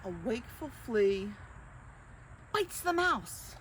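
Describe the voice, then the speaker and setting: An older woman reading picture books aloud to young children, expressively, with drawn-out phrases and questions to her listeners. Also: fairly high pitch, sing-song, fairly bright tone, slight background noise. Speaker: children's storyteller.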